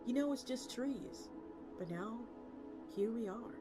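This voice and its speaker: A woman's voice: a little high, animated, takes it slowly. A woman streamer reading a story aloud in a casual, conversational way. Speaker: VTuber streamer. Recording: music playing behind the voice. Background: background music.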